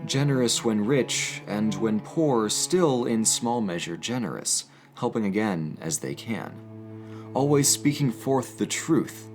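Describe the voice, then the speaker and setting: A man hosting and reading poetry, moving between casual commentary and measured, theatrical literary delivery. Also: on the low side, animated, darkish tone, faint music behind. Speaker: host of an educational channel.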